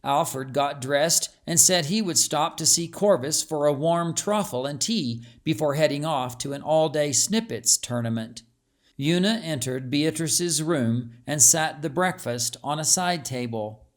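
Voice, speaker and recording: A man's voice: fairly high pitch, a little slow. A man reading a story aloud as a scripted audiobook narration.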